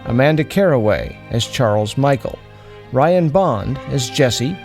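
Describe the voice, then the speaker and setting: A man's voice: mid-range voice, animated, unhurried, darkish tone, faint music behind. A man reading a scripted podcast outro and credits as the announcer.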